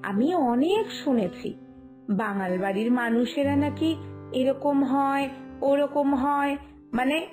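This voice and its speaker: A woman's voice: sits high, slow pace, music in the background. A woman playing an eager, naive young daughter-in-law in a cartoon village tale, talkative and coaxing. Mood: gossipy, amused. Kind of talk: Bengali cartoon village family tale acting.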